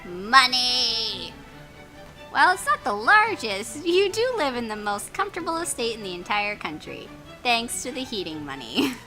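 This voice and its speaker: A woman hosting a let's play, reading story text aloud in a playful, expressive way with exclamations and casual asides. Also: a little high, unhurried, poor audio. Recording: music behind the voice.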